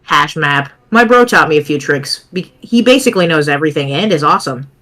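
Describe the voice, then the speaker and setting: A woman's voice: deep voice, lively intonation, brisk, fairly bright tone. Woman reading as a cool, smug teenage boy. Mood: cool, cocky. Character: cool, cocky teenage boy chatting online, teasing and bragging. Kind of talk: amateur dramatic reading of a webcomic.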